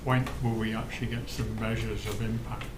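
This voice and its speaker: A man's voice: lowish voice, flat delivery, a little fast. Man pressing a pointed board question. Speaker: board member. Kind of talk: formal meeting question. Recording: echoey, noisy meeting room.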